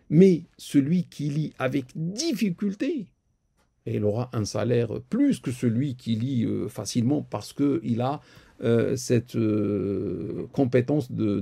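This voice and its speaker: Man, religious Q&A host, hesitant with fillers, dark mellow tone, gravelly. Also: medium pitch. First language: Arabic. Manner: hesitant, many fillers and repeated words. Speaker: imam answering questions. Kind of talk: live question-and-answer session. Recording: live stream recording.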